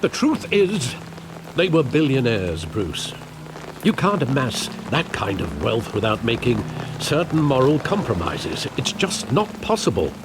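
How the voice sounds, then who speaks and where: An actor playing a loyal, weary older butler and guardian in a video game, speaking in a measured, earnest, formal way. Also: male voice, medium pitch, huge pitch swings, background noise. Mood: pained, earnest. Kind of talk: dramatic video game acting.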